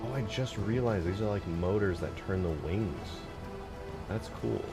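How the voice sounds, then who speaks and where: Deep-voiced guy streaming casually. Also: measured pace. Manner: informal slang, conversational, self-deprecating. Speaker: streamer, game modder. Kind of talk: casual livestream chat, answering viewers. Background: background music.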